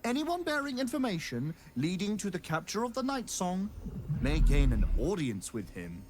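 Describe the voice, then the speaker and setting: A man's voice: sits high, wildly swooping pitch, moderate pace, background noise. A man playing a polite, pompous, officious magical construct clerk in a fantasy video game, speaking in formal, wordy sentences. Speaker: shop attendant.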